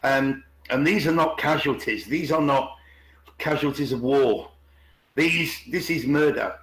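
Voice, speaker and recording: A man's voice: mid-range voice, measured pace, a bit bright. A man in an unscripted, opinionated discussion on an online call, repeating words and restarting often. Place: indoors on an online group call. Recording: roomy, echoing online call.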